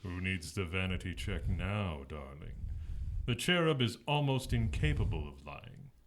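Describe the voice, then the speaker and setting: A man's voice: low voice, lively intonation, a little hiss or hum. A man playing a suave, commanding fallen angel in an audio drama, smug and condescending. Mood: taunting. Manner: unhurried, smug, condescending, smooth-talking.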